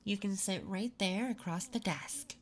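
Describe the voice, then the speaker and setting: A woman playing a curious, attentive interviewer in an unhurried, intimate, soft-spoken ASMR roleplay. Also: mid-range voice, a little hiss or hum, natural voice. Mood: softly intrigued. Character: curious, attentive female interviewer in a late-night office. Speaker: ASMR performer.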